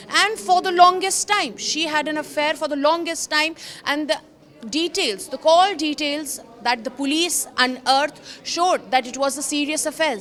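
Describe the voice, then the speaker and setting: A woman's voice: high voice, sharp tone. A woman with an Indian accent presenting a news explainer as a reporter, speaking emphatically and repeating phrases for stress. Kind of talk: news explainer commentary, semi-scripted.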